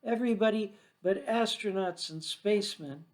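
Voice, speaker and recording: A scornful young girl from a children's storybook, played by a man, sure she knows everything. The delivery is emphatic and scoffing, pitched on the high side. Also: normal speed, close to an everyday voice. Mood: scornful, certain. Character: bossy know-it-all young girl, scornful and certain. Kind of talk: children's storybook read aloud.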